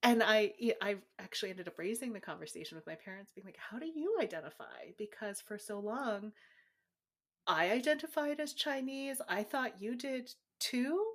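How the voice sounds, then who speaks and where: A woman's voice: medium pitch, fairly bright tone. A woman guest who grew up in the United States, reflecting candidly and sometimes animatedly in a casual interview. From United States, born in Taiwan. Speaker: guest author.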